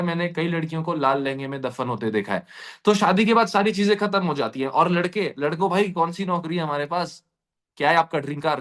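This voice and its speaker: High-voiced man giving a sales pitch. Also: a bit bright. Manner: motivational, addresses listeners directly, repeats points for emphasis. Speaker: presenter of an earning opportunity session. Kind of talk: persuasive sales pitch to an online audience.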